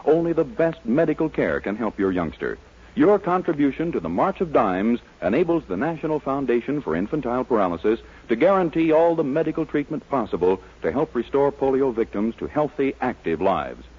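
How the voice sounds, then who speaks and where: Lively American male 1940s radio announcer. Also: medium pitch, fairly quick, slightly rough. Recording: dull, lo-fi 1940s radio recording.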